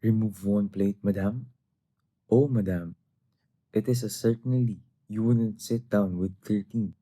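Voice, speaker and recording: A man's voice: on the low side, a little slow, dark tone, dry recording. A man playing a patient, deferential butler in a stage play reading, polite and restrained. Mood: polite concern.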